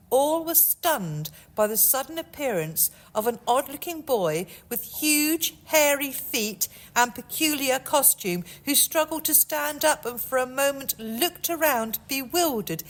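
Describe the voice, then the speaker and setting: A woman author reading a chapter of her own novel in a measured storytelling manner. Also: high-pitched.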